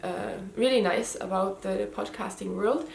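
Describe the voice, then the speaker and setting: A woman in her thirties with a slight Austrian German accent, speaking English as a second language. She hosts a home knitting podcast, talking straight to camera in a casual, hesitant way with plenty of ums. Her voice is mid-pitched and the room sounds noticeably echoey. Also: measured pace, vocal fry. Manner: hesitant, many ums and restarts. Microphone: camera mic, head and shoulders framing.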